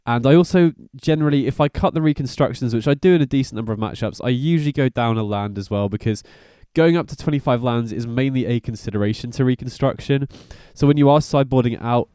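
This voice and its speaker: A man's voice: medium pitch, fast, few pauses, dark tone, clear, resonant tone, no room sound, clean studio sound. A man giving informal, slangy commentary as host of a card game guide. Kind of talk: casual gameplay commentary.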